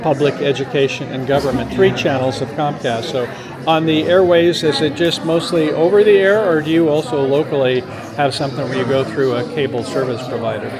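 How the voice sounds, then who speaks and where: A man's voice: a little high, steady tone, a little fast. A man interviewing someone casually, with chatty, rambling questions and frequent agreeing interjections. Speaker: interviewer for community media. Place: busy public space at an open house event. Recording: loud crowd chatter in busy public space.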